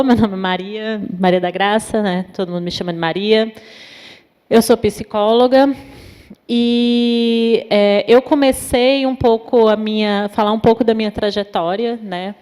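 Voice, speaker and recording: A woman from Natal with a northeastern Brazilian accent, a psychologist giving an informal talk at a university event, pausing often with fillers. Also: mid-range voice, a little mellow, smooth. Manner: many fillers, thoughtful and hesitant. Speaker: invited speaker, psychologist. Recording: long hall reverb.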